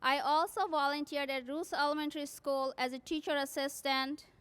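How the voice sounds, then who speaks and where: A woman's voice: high-pitched, fairly even intonation, normal speed, fairly bright tone. A woman from South Asia whose first language is not English, a graduating nursing student giving an earnest prepared commencement speech.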